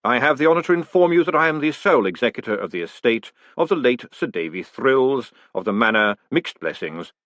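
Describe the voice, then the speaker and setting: A man voicing a talking letter as a crisp, clipped, formal lawyer. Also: mid-range voice, very animated, fairly quick, few pauses, a bit bright, dry recording.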